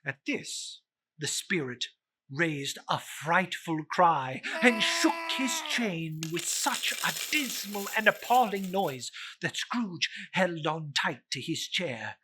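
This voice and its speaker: A woman narrating a scripted story as a dramatic reader, with a theatrical, deliberate delivery. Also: fairly low pitch, expressive, noisy background.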